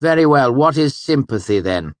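A man's voice: medium pitch, lively intonation, moderate pace, no echo, voice pushed up, natural voice. A man voicing a haughty male magic teacher who speaks with mocking, over-courteous scorn.

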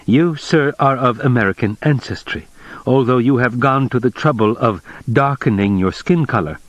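A man's voice: medium pitch, normal speed, no room sound, highs cut off, voice pushed up, lightly acted. A man doing a formal, precise official. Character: formal, measured middle-aged male Japanese official.

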